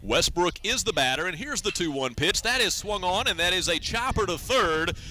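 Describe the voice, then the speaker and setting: Male radio baseball announcer, middle-aged, fairly high and very bright, punchy voice. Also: animated, normal speed, slight background noise. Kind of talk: live radio sports commentary.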